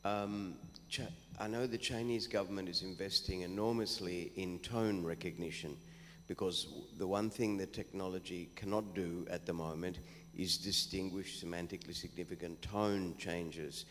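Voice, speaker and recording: A man's voice: sits low, fairly even intonation, normal speed, a bit bright. A man in the audience putting a long, formal question to a panel, with some fillers. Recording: reverberant hall with faint hum.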